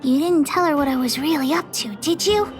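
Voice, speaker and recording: A woman's voice: high-pitched, a little fast, natural voice. A woman playing a bossy, bold little girl gang leader in a video game, self-important and speaking of herself in the third person. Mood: bossy, proud. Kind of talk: video game character acting. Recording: game music behind the voice.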